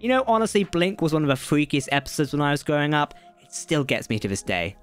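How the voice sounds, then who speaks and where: Male narrator, high and very lively, faint music under the voice-over. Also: quick pace, smooth. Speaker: video narrator. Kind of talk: scripted fan-channel narration.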